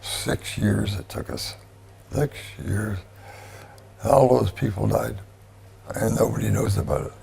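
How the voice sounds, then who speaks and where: A man in his nineties, a veteran, speaking slowly with emotion in a gravelly, raspy voice. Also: on the low side, dark tone. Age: 90s. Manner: slow, emotional. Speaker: veteran interviewee. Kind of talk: interview remarks.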